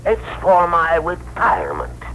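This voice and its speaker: Stubborn elderly gentleman, mild and unhurried, highish pitch, vintage radio drama with faint noise. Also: wildly swooping pitch, full character voice. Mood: plaintive. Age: older. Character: stubborn old man, the Old Year, slow and mild. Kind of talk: 1960s radio drama acting. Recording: noisy vintage radio broadcast recording.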